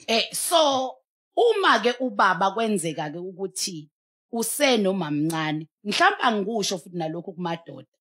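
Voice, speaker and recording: An emphatic South African woman in a studio talk setting, close on a headset mic. Her pitch moves around in a lively way as she exhorts like a pastor, switching now and then into English. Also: normal speed. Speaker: pastor and talk show panelist. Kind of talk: animated opinionated talk, mixing in English.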